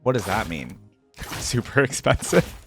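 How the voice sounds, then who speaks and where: A man giving casual, slangy, enthusiastic gaming reaction commentary, thinking out loud as he goes. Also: lowish voice, big pitch swings, a little slow, creaky. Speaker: reaction video host. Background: game music.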